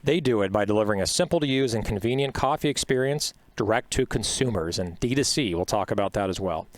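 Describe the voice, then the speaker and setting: A man's voice: fairly low pitch, lively intonation, quick pace, dry recording. A man hosting a podcast in a studio, chatty and upbeat, with plenty of uhs. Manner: chatty, upbeat, filler-heavy. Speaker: podcast host. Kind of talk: podcast interview.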